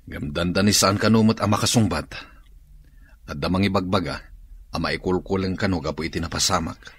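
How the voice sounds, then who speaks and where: A man's voice: deep voice, brisk, husky. A man with an Ilocano accent playing a worried, questioning islander in a radio drama.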